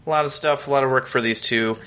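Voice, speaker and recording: An American man speaks in a clear-edged, bright voice of medium pitch. He chats loosely as a podcast co-host, rambling a little and poking fun at himself. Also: fairly even intonation, fast, slight background noise. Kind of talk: casual chat, reviewing a TV episode.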